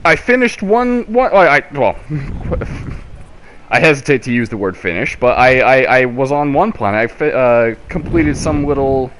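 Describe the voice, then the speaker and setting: A man chats casually about games as a podcast co-host. His explanations are halting and keep looping back to fix themselves, with a very mobile pitch. A faint layer of noise sits in the recording. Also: very animated, a bit bright.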